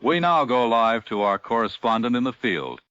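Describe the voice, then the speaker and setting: An actor playing an authoritative 1940s radio news anchor, formal and emphatic, with an American accent. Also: male voice, mid-range voice, very animated, moderate pace, a bit bright, smooth, dry recording. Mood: grave, urgent. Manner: formal, clipped, emphatic announcing. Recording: dry, dull vintage radio broadcast.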